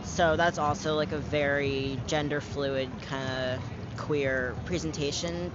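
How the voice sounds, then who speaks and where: A young man's voice, pitched a touch higher than usual for a guy, talking in a loose, unfiltered way with plenty of "like" and "um." He's recorded outdoors on a city street, and the sound is muffled under steady traffic noise. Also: unhurried. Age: young adult. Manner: candid, chatty, frequent fillers and swearing. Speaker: interviewee. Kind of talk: casual street interview.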